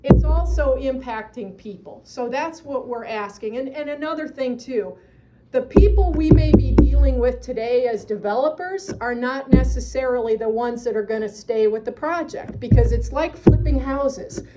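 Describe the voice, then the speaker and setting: Earnest high-voiced woman giving public comment. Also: normal speed, muffled recording, loud music behind the voice. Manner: earnest, persuasive advocacy. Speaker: citizen addressing county supervisors. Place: county meeting room.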